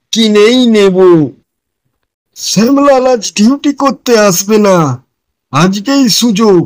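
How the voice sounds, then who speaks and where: A man's voice: high-pitched, darkish tone, slight background noise, rough recording. A man voicing a sly, greedy pond watchman scheming in a cartoon. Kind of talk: cartoon dialogue.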